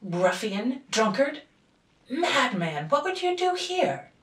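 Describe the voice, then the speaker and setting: A woman voicing an angry, commanding old father in a storybook reading, loud and scolding, in an echoey room. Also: fairly low pitch, fairly even intonation, normal speed, gravelly, recorded from a distance. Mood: enraged.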